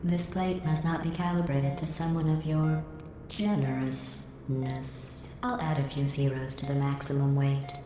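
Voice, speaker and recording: A cold, sarcastic female AI overseer speaks in a low, deadpan tone, trading dry insults. Her game voice rings with heavy echo. Music plays underneath. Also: a little slow. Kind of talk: video game voice acting. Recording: heavily echoed game audio with music.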